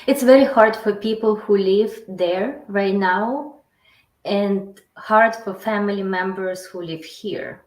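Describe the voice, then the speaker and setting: Ukrainian lady, interview guest, choppy english w lots of uhs, echoey room. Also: medium pitch. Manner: halting non-native English with many fillers. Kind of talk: casual interview.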